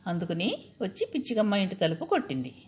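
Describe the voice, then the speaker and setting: A woman's voice: medium pitch, wildly swooping pitch, fast. A woman telling a children's story, repeating and explaining key words and putting questions to her young listeners.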